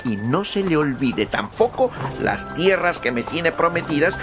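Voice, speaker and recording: Radio play squire: naive greedy peasant, high voice, lively swings, music behind. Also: male voice, a little slow. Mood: indignant. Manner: naive, protesting. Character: simple peasant squire, greedy, alarmed, plain-spoken. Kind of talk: radio drama acting.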